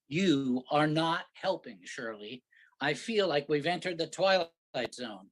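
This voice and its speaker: A man's voice: on the high side, expressive, slow pace. A man playing an impatient, grumbling New York husband lost in the countryside, in a staged reading of a comic play. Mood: frustrated. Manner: sarcastic, grumbling.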